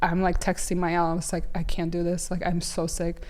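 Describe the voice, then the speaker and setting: A woman's voice: lowish voice, monotone, fairly quick, darkish tone. A woman from Ukraine sharing her personal testimony as a guest, telling it with emotion and many fillers and restarts. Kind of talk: personal testimony in a faith podcast.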